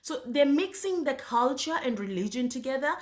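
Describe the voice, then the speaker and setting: A woman from Zanzibar tells her own story, hesitating often and restarting her sentences. She keeps clearing her throat and coughing between phrases. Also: sits high, lively intonation. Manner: halting, many fillers and restarts, frequent throat clearing. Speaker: speaker sharing her faith story. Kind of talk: personal testimony.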